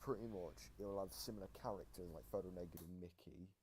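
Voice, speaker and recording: A man's voice: low voice, fast talker, darkish tone. A man hosting a casual gaming analysis video, explaining things in a rambling way. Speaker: video host. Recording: noisy home microphone recording.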